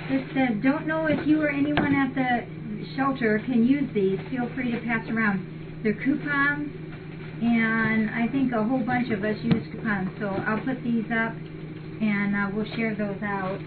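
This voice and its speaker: An older woman with a fairly high voice talks in a chatty, appreciative way, switching between casual remarks and reading aloud. She is some distance from the webcam's microphone. The sound is dull and lo-fi, with clear noise behind her. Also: fairly even intonation, measured pace. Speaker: cat rescue shelter host. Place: large indoor cat shelter room. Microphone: distant fixed webcam. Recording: muffled, noisy webcam audio from far off.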